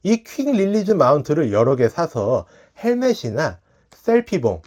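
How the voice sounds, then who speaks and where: A man presents a scripted product review in a polite, explanatory manner. His voice sits a little high for a man and moves through very animated rises and falls. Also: measured pace. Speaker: tech review channel host.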